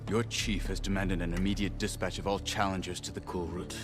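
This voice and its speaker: A man plays a proud warrior marshal in a video game. His voice is low and grave, with terse, formal and blunt delivery. Music plays clearly behind him. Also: little pitch movement, fairly quick, lightly acted. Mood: formal, stern. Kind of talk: video game cutscene acting.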